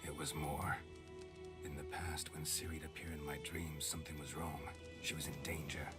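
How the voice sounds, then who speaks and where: Gruff monster hunter, very deep, deadpan, whispery rasp, video game drama, loud music behind. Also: male voice, normal speed. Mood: brooding, grave. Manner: terse, deadpan. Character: gruff, weary, stoic monster-hunter man.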